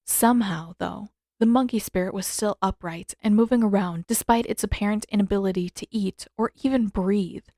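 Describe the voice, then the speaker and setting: A woman's voice: medium pitch, moderate pace, few pauses, warm tone, no echo, clean studio sound. A woman reading scripted fiction aloud as the narrator of an audiobook-style story. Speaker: narrator, fan fiction author.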